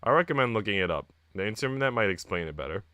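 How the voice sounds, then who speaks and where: A man's voice: fairly low pitch, lively intonation, quick pace, sharp tone, dry recording, highs cut off. A man live streaming, switching between casual chat with viewers and reading story text aloud. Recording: music playing behind the voice. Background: background music.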